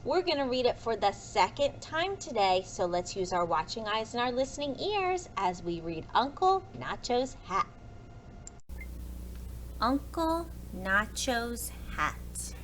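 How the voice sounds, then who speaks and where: Animated woman reading to preschoolers, unhurried. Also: on the high side, a little hiss or hum. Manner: expressive, deliberate. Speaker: preschool teacher. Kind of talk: children's storybook read-aloud.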